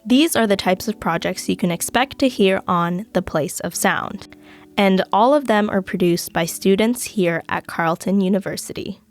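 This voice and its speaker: A young woman, a student host, reading a scripted podcast introduction in a measured way. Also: mid-range voice, faint music behind. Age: young adult.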